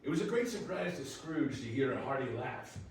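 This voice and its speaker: A man's voice: medium pitch, very animated, fairly quick. A man narrating a classic story as scripted readers theater. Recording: very echoey, distant, faint noise.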